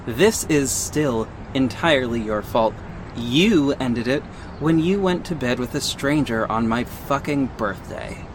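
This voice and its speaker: A man's voice: medium pitch, expressive, noisy background, natural voice. Guarded, sardonic male vigilante, played by an actor. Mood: cold, irritated. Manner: measured, terse, exasperated. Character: guarded, sardonic costumed vigilante, heartbroken and stubborn. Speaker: narrator and lead actor. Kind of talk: first-person audio drama narration and dialogue.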